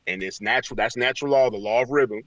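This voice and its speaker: A man's voice: mid-range voice, fast, a bit bright, no echo. A man giving casual motivational talk as an interview guest, slangy and emphatic, often repeating phrases for effect. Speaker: guest, motivational speaker and author. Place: in a car during a remote call. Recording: slightly distant mic.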